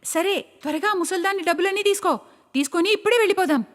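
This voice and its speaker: Woman doing a shrill scheming daughter-in-law. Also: quick pace, long reverb tail. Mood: furtive, impatient. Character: Meera, scheming greedy young daughter-in-law. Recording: clear background noise.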